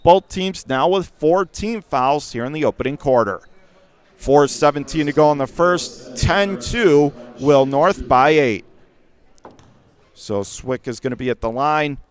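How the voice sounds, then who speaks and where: A man doing live radio play-by-play of a basketball game in punchy short phrases, getting excitable on big plays. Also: on the high side, a little slow. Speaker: radio play-by-play sports announcer. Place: indoor school gymnasium during a game.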